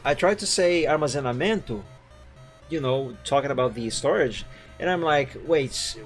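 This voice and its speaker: A man's voice: medium pitch, moderate pace, soft background music. Chatty man streaming from home. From Brazil. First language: Portuguese. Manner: rambling and chatty, frequent fillers and self-corrections. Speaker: livestreamer drawing live art. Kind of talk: casual stream chat.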